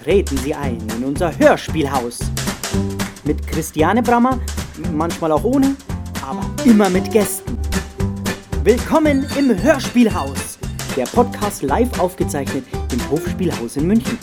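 A woman's voice: lowish voice, sing-song, a little slow. A woman announcer giving a theatrical scripted show introduction with a grand, inviting manner. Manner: grand, inviting showman-like address. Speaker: podcast announcer. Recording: guitar music behind. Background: guitar music.

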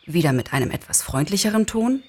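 A woman narrator with a husky, breathy voice at a medium pitch. She reads a story expressively, as in an audiobook. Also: big pitch swings, a little fast, a little mellow. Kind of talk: expressive scripted story reading.

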